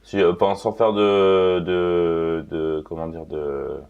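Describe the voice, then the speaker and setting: A man's voice: low-pitched, little pitch movement, slow, a little mellow, clear, resonant tone. A young man co-hosts a casual football chat. He speaks hesitantly, searching for words, and the recording is a bit dull and lo-fi. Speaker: football show co-host and Paris supporter. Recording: dull lo-fi sound.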